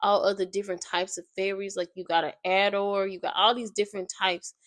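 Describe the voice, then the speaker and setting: A woman's voice: medium pitch, level tone, fairly quick, no echo, clean studio sound. A young woman, slightly nasal and congested, casually summarizing a book in a loose, rambling way and often searching for words. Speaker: YouTuber summarizing a book while playing a game.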